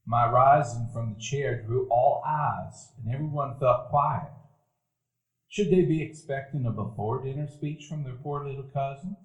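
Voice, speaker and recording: Man as a reflective narrator, grown man remembering boyhood, measured telling, echoey live stage. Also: mid-range voice, natural voice. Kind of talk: stage radio play narration. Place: dinner theater stage before a live audience.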